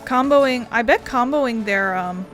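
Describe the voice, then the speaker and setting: A woman's voice: a little high, measured pace, fairly bright tone. Casual, wry female let's-play streamer. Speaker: video game streamer. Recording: game music behind the voice.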